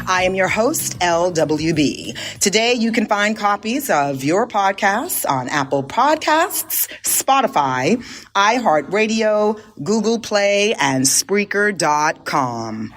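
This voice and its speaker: A woman hosting an interview podcast, effusive and warm in her praise, repeating greetings for emphasis. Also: medium pitch, sing-song, slow, soft background music. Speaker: podcast host. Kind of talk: enthusiastic interview host.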